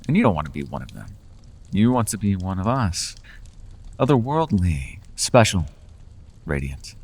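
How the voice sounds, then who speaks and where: A man voicing a charming, manipulative male fey lord in an ASMR roleplay, speaking in a silky, coaxing, teasing way. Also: sits low. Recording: long reverb.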